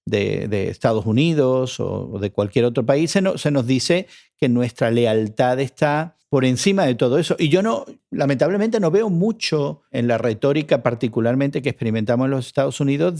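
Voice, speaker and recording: A man's voice: medium pitch, creaky, darkish tone. A man on a radio panel, talking earnestly about faith and identity, often restarting his words. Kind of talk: faith discussion.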